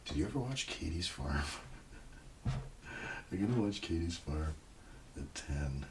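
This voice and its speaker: A soft-spoken man doing casual ASMR, muttering as if to himself and slipping into whispers, in a roleplay style. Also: expressive, measured pace. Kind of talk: ASMR, casual muttering while browsing TV listings. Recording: clear background noise, page rustling and clicks. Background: page flipping and highlighting sounds.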